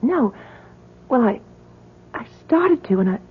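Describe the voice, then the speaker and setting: An actress playing an eager, hesitant young college student in a 1970s radio drama, with an earnest manner. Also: female voice, fairly high pitch, very animated, slow pace, slightly rough, heavily acted. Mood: hesitant, intrigued. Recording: muffled vintage 1970s radio recording.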